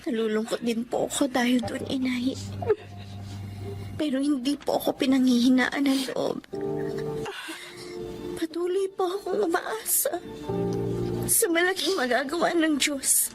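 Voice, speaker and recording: High-voiced sorrowful young widow. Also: female voice, measured pace, pauses often, music in the background. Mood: sad, hopeful. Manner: earnest, plaintive. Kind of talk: Filipino radio drama acting.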